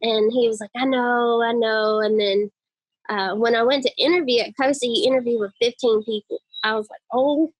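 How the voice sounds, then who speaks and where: A woman with a high, girly voice, chatting enthusiastically as a guest on an interview podcast. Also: steady tone, normal speed, no room sound, highs cut off. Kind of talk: casual interview conversation.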